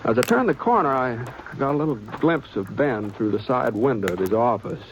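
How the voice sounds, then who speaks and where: A man's voice: mid-range voice, animated, moderate pace, natural voice. An actor playing a modest drifting cowboy gunman who narrates and talks with a hesitant, drawling stammer in a 1950s radio drama. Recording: old radio broadcast with faint hiss.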